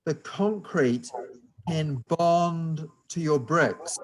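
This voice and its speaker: Man, New Zealand accent, guest lecturer, very slow deliberate delivery, fairly high voice, patient explaining. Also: slight background noise, low-quality recording.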